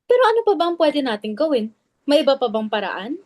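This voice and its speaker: Woman acting defensive Filipino clubwoman, anxious, dramatic reading, fairly high voice, dry sound. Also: sing-song, quick pace, darkish tone, dry recording. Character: anxious, defensive clubwoman guarding the club's image.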